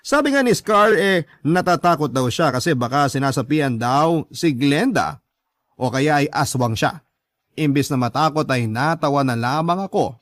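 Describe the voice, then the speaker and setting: A man's voice: on the high side, animated, moderate pace, fairly bright tone, dry recording, studio quality. A man with a Filipino accent giving scripted first-person narration for a radio drama.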